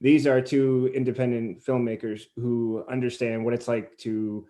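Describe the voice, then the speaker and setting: A man's voice: mid-range voice, flat delivery, moderate pace, warm tone. A man hosting a conversational interview, speaking in an earnest, self-effacing way. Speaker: interview host.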